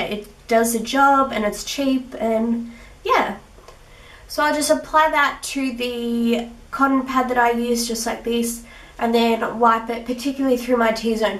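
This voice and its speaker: A young woman with a sick, husky rough voice, chatting through her skincare routine with little laughs. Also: on the high side, fairly bright tone. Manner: chatty, giggly, with fillers. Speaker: beauty vlogger. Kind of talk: casual skincare tutorial. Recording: echoey room, mic at a distance.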